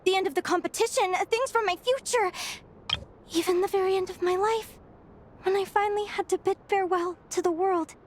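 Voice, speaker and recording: A woman voicing an earnest young Fae girl in a game cutscene, talking in a soft, reflective, confiding way. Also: very high voice, fairly even intonation, brisk, a little hiss or hum. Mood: shaken.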